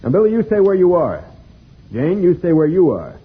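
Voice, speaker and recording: Calm space adventure leader giving instructions, old radio serial, tinny phone-like sound. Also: male voice, sits high, slight background noise. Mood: directing, focused. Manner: measured, instructive.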